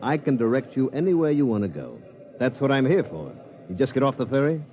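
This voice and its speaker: An actor playing a brash, wheedling hustler at a spaceport, in 1950s radio drama style. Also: male voice, medium pitch, big pitch swings, brisk, warm tone, lightly acted. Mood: pushy. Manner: brash, wheedling street patter. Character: fast-talking, slick male spaceport hustler. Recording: dull old radio transcription.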